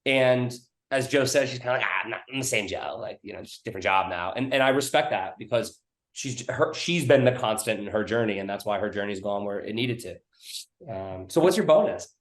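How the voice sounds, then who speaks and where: A man's voice: fairly low pitch, expressive, a little fast. A man hosting a podcast in loose casual chat, with frequent restarts and swearing. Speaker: podcast host. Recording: roomy echo.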